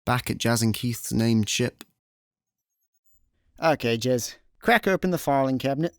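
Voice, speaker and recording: A man's voice: medium pitch, expressive, measured pace, no echo. A Scottish man playing a laid-back, wry space slacker in a comedic radio play, dry and matter-of-fact.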